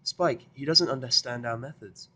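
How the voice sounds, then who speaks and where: A man's voice: medium pitch, normal speed, bright, forceful tone, pitched above the performer's own voice. A man voicing a studious, easily flustered young female unicorn pony who speaks in formal, pedantic phrases for a fan fiction audio drama. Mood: patiently explaining.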